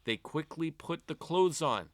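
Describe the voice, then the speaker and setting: Male children's storyteller: animated, swooping intonation, deliberate phrasing, lightly high voice, close dry sound. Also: no room sound. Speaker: storyteller reading a children's book aloud. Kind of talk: expressive read-aloud fairy tale narration for children.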